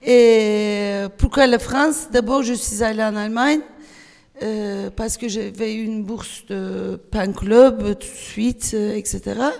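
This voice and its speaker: A woman with a Turkish accent, a native Turkish speaker, speaking at a festival panel, hesitant, with many fillers and restarts, animated when she tells a story. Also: mid-range voice, slow pace, vocal fry. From Turkey. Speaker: panel guest, writer. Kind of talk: panel discussion. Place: festival hall. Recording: long hall reverb.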